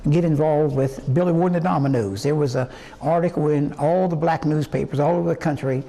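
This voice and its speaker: A man in his eighties from West Virginia, a singer telling his life story in an interview in a halting, rambling way full of fillers and restarts. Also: fairly high pitch, mellow, poor audio. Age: 80s. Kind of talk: reminiscing interview answers.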